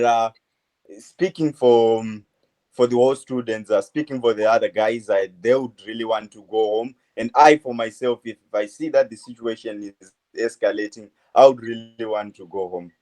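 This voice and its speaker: A man in his twenties from Zimbabwe, a medical student answering interview questions in a halting way, full of uh fillers. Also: mid-range voice, steady tone, darkish tone, low-quality recording. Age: 20s. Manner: hesitant, many uh fillers, halting phrases. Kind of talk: phone interview.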